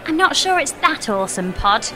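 An actress playing a sarcastic, exasperated young heroine in a sci-fi audio comedy, blunt and incredulous. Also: female voice, sits high, very animated, moderate pace. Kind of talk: audio comedy drama acting. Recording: music under the voice.

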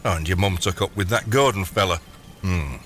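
A man's voice: low-pitched, a little fast, a bit bright, slight background noise. An actor playing a bumbling, evasive middle-aged father turned crime boss in an audio comedy, stammering and hesitating as he tries to placate. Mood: sheepish, apologetic. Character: bumbling, evasive long-absent dad turned gangster boss. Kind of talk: audio comedy drama acting.

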